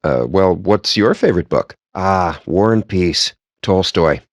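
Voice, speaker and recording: A man's voice: deep voice, animated, unhurried, no room sound, studio quality, full character voice. A man voicing a wry, pompous, foul-mouthed gamer geek in video game dialogue, with a sardonic, wordy and theatrical delivery. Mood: curious, conversational.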